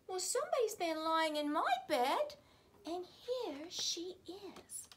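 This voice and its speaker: Give me a tiny whiny baby bear boy, as a woman puts him on, very high and squeaky. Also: big pitch swings, takes it slowly, a little hiss or hum, exaggerated voice. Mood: upset. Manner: squeaky, childlike.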